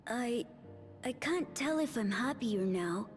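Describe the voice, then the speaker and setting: Young game orphan boy: brave but wistful, slow and hesitant, soft, higher voice. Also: animated, faint music behind. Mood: wistful, subdued. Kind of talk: video game voice acting.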